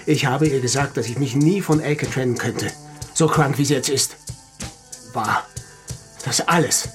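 A male actor as an artist suspected of a crime, in a radio drama. His mid-pitched voice is wounded and defensive as he answers police questions, distraught beneath it. Faint music runs behind. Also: measured pace, lightly acted. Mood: troubled.

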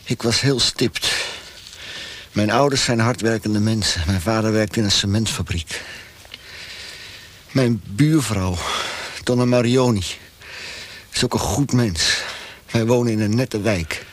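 An actor playing a weakened, tortured male prisoner in a radio play, earnest, halting and pleading. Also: lowish voice.

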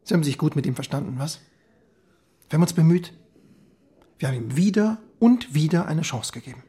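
An actor in a radio drama playing an evasive, officious East German police chief, stiff and dismissive under feigned calm. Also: male voice, a little high, fairly quick, slightly rough. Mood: cold, curt. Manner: hemming, then stiff and dismissive. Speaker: head of district police office.

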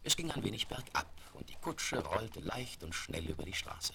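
A man's voice: fairly low pitch, big pitch swings, moderate pace, vocal fry. A man playing the composed narrator of a children's tale, reading scripted storybook narration. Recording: noisy vinyl record transfer with clicks.

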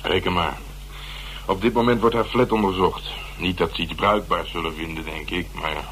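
A low-pitched, gruff police detective, blunt and full of mocking slang. It is radio drama acting on a slightly noisy, humming tape. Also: male voice, steady tone, moderate pace, a bit bright. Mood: wry, pondering. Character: blunt, teasing male police detective nicknamed the Dragon.

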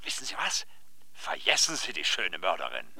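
An actor playing a pompous, excitable male publishing editor in a radio play, theatrical and condescending. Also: deep voice, normal speed, creaky, exaggerated voice. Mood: delighted, grandiose. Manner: theatrical, condescending, swooping emphasis. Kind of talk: German radio play dialogue.

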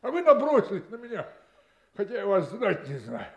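A man's voice: sits high, huge pitch swings, normal speed, dull audio, full character voice. An actor playing a grumpy, stubborn old coachman out of work in a radio play, muttering and drawling, with coughs and groans. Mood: indignant. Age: older.

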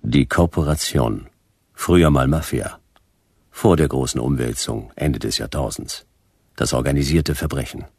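An actor playing a dry, hardboiled private detective, laconic and deadpan, in a noir radio play. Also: male voice, a bass voice, creaky, husky, dry recording, exaggerated voice. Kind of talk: first-person noir radio play narration and dialogue.

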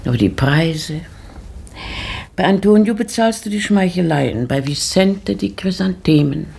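A grieving widow who lies under every word, searching and halting, sinking into breathy whispers. Her voice is husky and airy, and she draws her lines out slowly with wide swings in pitch. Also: expressive, darkish tone, exaggerated voice. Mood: stern. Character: grieving widowed lady of a house, brooding. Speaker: Donna Francisca, mistress of the house. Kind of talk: 1960s German radio play acting.

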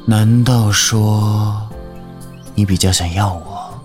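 A man acting a handsome young suitor in a romance audio drama, with a low voice. He speaks in a self-assured, teasing way, with music playing underneath. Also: fairly even intonation, slow pace, a little mellow.

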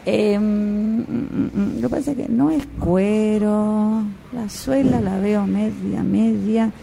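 A woman's voice: medium pitch, steady tone, slow pace, creaky, dark tone. A woman with an Argentine accent playing a fussy, hesitant shoe-shop customer who repeats herself, in an improvised radio theatre scene. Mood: dithering. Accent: Rioplatense Argentine Spanish. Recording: amateur workshop recording with faint room noise.

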